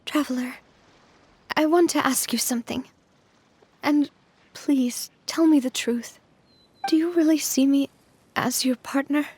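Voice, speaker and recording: A warm young female leader from a video game speaks slowly and earnestly in a high, soft voice. She sounds reflective and sincere. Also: slight background noise. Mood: vulnerable. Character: young woman, leader of a group, warm but shaken. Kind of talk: game cut-scene voice acting.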